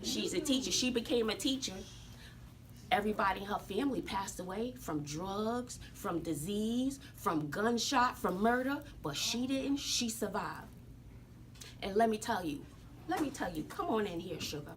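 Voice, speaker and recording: A woman's voice: mid-range voice, animated, moderate pace, a little hiss or hum, lightly acted. An American woman playing a sassy, folksy, wrinkled old woman who tells stories to students in an emphatic, theatrical way, repeating herself for drama. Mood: emphatic, resolute. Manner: emphatic, dramatic, repeats phrases, addresses listeners as sugar. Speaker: teacher telling her life story to students. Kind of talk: theatrical classroom storytelling. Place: classroom with students present.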